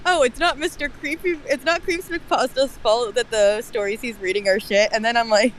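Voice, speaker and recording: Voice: medium pitch, steady tone, moderate pace, a bit bright. Wry casual talker, faint background noise. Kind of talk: casual chat on a livestream.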